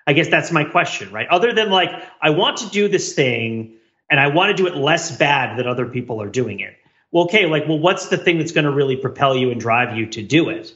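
A man with a bright, forceful voice pitched a little high talks casually as a podcast host. He rambles and circles back over his phrases as he reasons aloud. The recording is slightly muffled and lo-fi. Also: expressive, a little fast. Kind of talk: casual panel discussion.

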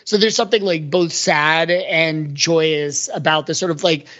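A man's voice: fairly high pitch, steady tone, a little slow, fairly bright tone. A man talking casually as a podcast panelist about pop culture, in a wordy, rambling, analytical way. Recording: dull lo-fi audio.

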